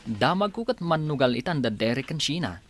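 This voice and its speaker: A gossipy, excitable villager in an Ilocano radio drama, played by a woman. The voice is low for a woman and very lively, moving freely up and down. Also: a little fast, soft background music.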